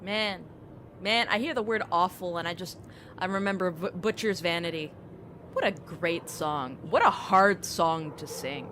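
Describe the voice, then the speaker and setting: A woman's voice: lowish voice, unhurried, fairly bright tone, slight background noise. A woman streamer talking casually and rambling in a self-deprecating way while she plays. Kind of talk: casual chat while gaming.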